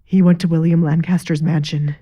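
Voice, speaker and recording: A woman's voice: low voice, level tone, fairly quick, warm tone, husky, highs cut off, pitched below the performer's own voice. A woman voicing an honest male butler who has been wronged, earnest and defensive, in an audio drama. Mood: resigned.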